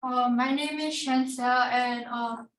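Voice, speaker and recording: A teenage girl from the Philippines introducing herself as a student, slow and hesitant. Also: a little high, fairly even intonation. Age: teens. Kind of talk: self-introduction. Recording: echoey room.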